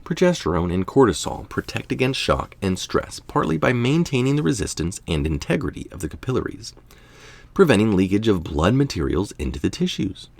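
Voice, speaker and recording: A man's voice: sits low, creaky, dry recording. A man reading a scientific health article aloud, now and then adding a casual remark of his own.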